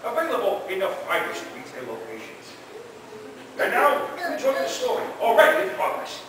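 A man's voice: very high voice, huge pitch swings, normal speed, bright, forceful tone. A man announcing in the style of an old-time radio show. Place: large convention meeting room, on stage. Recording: echoey, distant, noisy convention hall.

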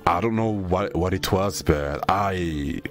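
A man's voice: low voice, slow. A young man from Senegal, raised in France, chatting casually with his stream viewers in a laid-back, slangy way, swearing freely. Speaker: VTuber streamer.

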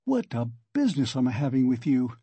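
A man's voice: medium pitch, sing-song, normal speed, warm tone, breathy edge, dry recording. A man voicing a sly, mocking examining lawyer in an audiobook, with playful, ironical good humor. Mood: sly, amused. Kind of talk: dramatic audiobook character reading.

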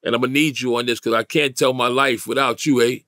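A man in his fifties with a gravelly voice and a New York accent, telling a story in slangy, emphatic, streetwise interview talk. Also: mid-range voice, fairly even intonation, fairly quick, bright, forceful tone, no room sound, clean studio sound. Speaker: interview guest. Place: small indoor recording studio, dry sound.

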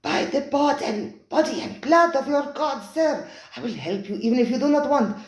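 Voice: sits high, animated, normal speed, reverberant, voice pushed up. A reader voicing a frightened male waiter who begs a diner to heed his warning. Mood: pleading. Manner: desperate, insistent warnings.

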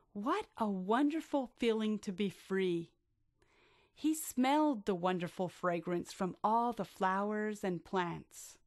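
A woman narrating a rhyming bedtime story for children with expressive, drawn-out delivery. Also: mid-range voice, dry recording, clean studio sound.

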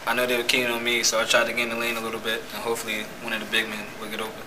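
Young male player, even-toned answers. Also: mid-range voice, very fast. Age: young adult. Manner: hesitant, modest replies with fillers. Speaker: college basketball player. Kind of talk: postgame press conference answers. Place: press room in front of a team backdrop. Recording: noisy press room audio.

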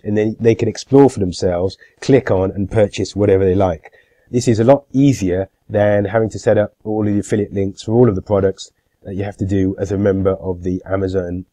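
A man's voice: fairly low pitch, warm tone, no echo. A middle-aged man with a cold, giving a screen-share tutorial in a plain, matter-of-fact way with frequent "uh" fillers. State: has a cold, congested. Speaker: marketing YouTuber.

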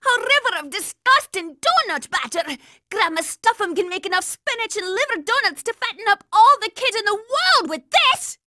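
A woman's voice: very high voice, lively intonation, normal speed, sharp tone, no room sound, clean studio sound, full character voice. A woman voicing a bossy, hot-tempered young girl soldier in a cartoon video game, brash, scolding and sarcastic. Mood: defiant, angry.

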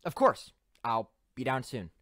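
A woman voicing a cocky, self-conscious young male unicorn squire in an animated web series, with a wry, drawling manner. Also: extremely low pitch, measured pace, a little hiss or hum, full character voice. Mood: smug, relaxed.

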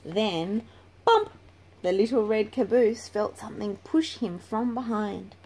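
Slow-paced woman reading a storybook. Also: mid-range voice, animated, a little mellow, breathy edge. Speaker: children's book reader. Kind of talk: slow read-aloud storytelling. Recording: noisy home recording.

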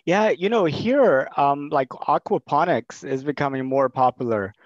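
A man's voice: on the high side, unhurried, no room sound. A man in his thirties from Texas, hosting a video call and asking long, rambling questions full of fillers. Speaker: host. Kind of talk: casual video call interview. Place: small room indoors. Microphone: headset. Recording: dull lo-fi call audio.

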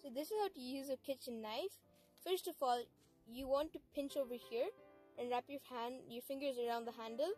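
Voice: high-pitched, brisk, darkish tone, soft background music. A young-sounding female vlog host, explaining and instructing in a casual travel-vlog style.

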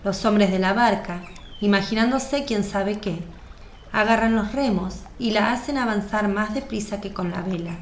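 A woman's voice: mid-range voice, moderate pace. Woman narrating in a roomy recording. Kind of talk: scripted radio drama narration. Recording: roomy, faint background noise.

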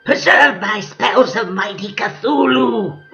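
A deranged cult woman locked in an asylum, with a piercingly sharp voice full of gravel. She raves in radio-play horror style, swinging between whispers and screams. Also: medium pitch, takes it slowly, muffled recording, soft background music, exaggerated voice. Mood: fevered. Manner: raving, shifting from whispers to screams. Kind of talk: old-time radio horror acting.

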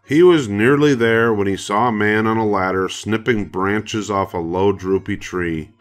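A man's voice: on the low side, measured pace, faint music behind. An older man narrating a children's story from a script. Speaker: storybook reader.

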